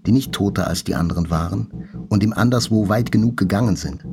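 An actor playing a writer's brooding inner voice in a grave, contemplative literary monologue. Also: male voice, lowish voice, fast talker. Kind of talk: literary monologue in audio drama. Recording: clear music behind the voice.

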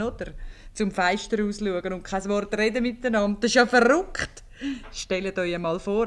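A woman's voice: fairly high pitch, fairly bright tone. An actress with a Swiss German accent playing a talkative, good-humoured married woman in a 1950s radio comedy. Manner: chatty.

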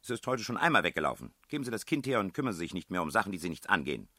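A man's voice: mid-range voice, fairly quick, pushed and bright, dry recording, clean studio sound, voice pushed up. A man voicing a domineering, furious woman in charge of a children's home, barking clipped commands in an audiobook story. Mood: indignant, furious.

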